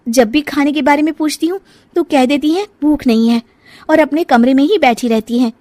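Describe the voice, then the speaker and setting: A woman's voice: high voice, a little fast, a little mellow, no room sound, pitched above the performer's own voice, close to an everyday voice. A woman voicing a caring, cheeky young daughter-in-law in a cartoon story, coaxing and pointed. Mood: concerned, coaxing. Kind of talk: cartoon family story dialogue.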